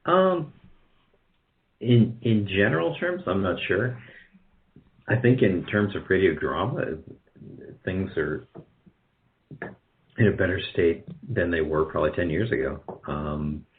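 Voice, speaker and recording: A man with a very low voice talks casually as a guest in an interview. He speaks haltingly, circling back and correcting himself as he goes. The audio is narrow and muffled, like a lo-fi call. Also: lively intonation. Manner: halting, rambling, self-correcting. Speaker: guest, radio drama producer.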